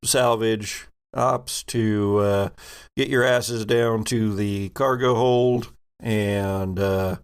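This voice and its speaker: A man's voice: fairly low pitch, fairly even intonation, drawn-out words, smooth. A man voicing a casual, blunt spaceship captain in a tabletop game, hesitating as he gives orders. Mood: businesslike, hesitant. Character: gruff, casual male spaceship captain on the intercom.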